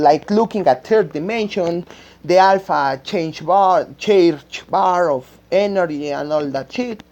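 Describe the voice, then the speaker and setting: A woman with a Spanish-speaking accent rambles informally in a lowish voice. She takes her time, stopping to hunt for words and doubling back on phrases. The recording is dull and lo-fi. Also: warm tone. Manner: halting, searching for words, repeating phrases. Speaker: amateur game creator. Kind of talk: unscripted rambling vlog talk. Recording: dull lo-fi microphone sound.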